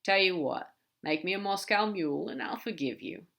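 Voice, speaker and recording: A woman's voice: medium pitch, lively intonation, moderate pace, vocal fry, fairly bright tone. A woman voicing a sly, fond male demon in a fan audiobook reading, with a casual, easygoing manner. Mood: teasing.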